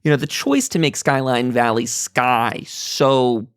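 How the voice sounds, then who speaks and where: A man's voice: mid-range voice, measured pace, clear, resonant tone, dry recording, clean studio sound. A man hosting a casual gaming roundtable, talking in a rambling way and often interrupting himself. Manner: rambling, self-interrupting, hedging aloud. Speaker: podcast host moderating a roundtable. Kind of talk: casual panel discussion about gaming.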